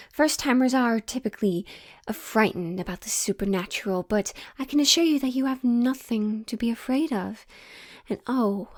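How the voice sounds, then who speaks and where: A woman playing a coy, theatrical witch who reads tarot, teasing and mysterious, coaxing the listener with dramatic pauses in an audio roleplay. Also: fairly high pitch, darkish tone. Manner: slow, coaxing, endearments, dramatic pauses, breaks into near-whispers. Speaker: tarot reader.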